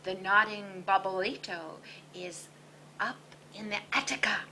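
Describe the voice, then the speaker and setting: A woman's voice: mid-range voice, fairly even intonation, measured pace, pushed and bright, slight background noise. A woman as Don Diego, a laid-back chihuahua leader. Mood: easygoing. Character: biggest of the small chihuahuas, male, friendly leader.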